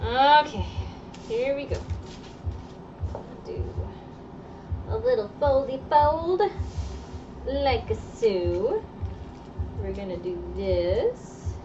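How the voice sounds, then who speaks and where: A young woman chatting casually on a live stream while sewing, opinionated and quick to laugh. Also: fairly high pitch, animated, far from the mic, highs cut off, over music. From Argentine heritage. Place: indoor sewing workroom.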